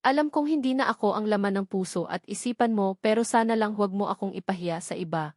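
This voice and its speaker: A woman's voice: medium pitch, quick pace, darkish tone, no room sound, close to an everyday voice. Woman as a possessive rich man, stern, jealous, demanding, romance audiobook, dry sound. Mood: stern, reproachful.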